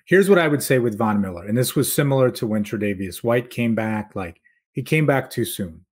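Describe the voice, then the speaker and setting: A man's voice: medium pitch, expressive, a little fast, husky. A man giving casual sports analysis as a podcast guest, explaining his points conversationally.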